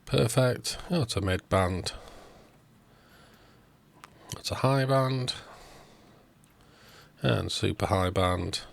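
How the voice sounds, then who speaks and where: Low-pitched man narrating a repair. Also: very slow, vocal fry, long pauses, a little hiss or hum. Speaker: host of electronics repair videos.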